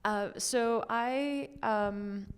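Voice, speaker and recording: A woman's voice: fairly high pitch, drawn-out words, clean tone. A woman from Lebanon in her thirties, a filmmaker answering questions in a reflective, hesitant way with many fillers. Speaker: filmmaker guest. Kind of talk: conversational Q&A answers.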